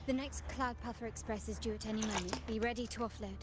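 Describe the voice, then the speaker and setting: A woman's voice: on the high side, fairly even intonation, fast talker, very noisy, lightly acted. An actress playing a poised, authoritative young lady boss in a video game, speaking in a clipped, commanding way.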